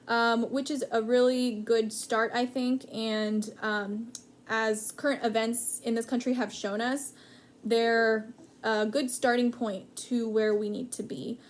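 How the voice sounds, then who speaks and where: Reflective woman teacher, fairly high voice. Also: a little slow. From United States. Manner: calm, reflective, with frequent ums. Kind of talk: recorded interview answer.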